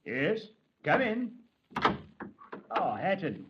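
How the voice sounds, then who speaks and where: A measured, authoritative police commander. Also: male voice, sits high, big pitch swings, music in the background, heavily acted. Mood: stern. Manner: measured and authoritative, clipped questions. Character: calm, authoritative male senior police commander. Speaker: narrator and deputy commander. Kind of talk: 1950s radio drama acting. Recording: dull old radio recording.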